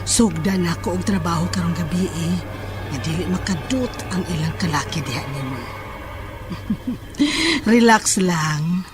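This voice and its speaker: A woman playing a menacing, self-assured sorceress in a horror audio drama, speaking in a deliberate, sinister way. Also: fairly low pitch, lively intonation. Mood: confident, boastful. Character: menacing, self-assured female sorceress who casts curses. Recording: music under the voice.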